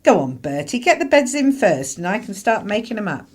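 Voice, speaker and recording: A practical 1950s mother played by a woman. Her mid-range voice is plain-speaking yet very expressive in pitch, in radio play style. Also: a little fast. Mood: brisk, practical. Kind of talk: radio play acting and narration.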